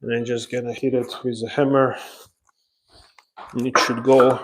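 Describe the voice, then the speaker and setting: A man's voice: mid-range voice, flat delivery, a little hiss or hum, poor audio. A man from Ukraine with a Ukrainian accent, giving casual live commentary and explaining as he works with tools. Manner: explains while working, pausing as he handles tools. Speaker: drywall installer, live stream host. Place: laundry room under renovation, indoors.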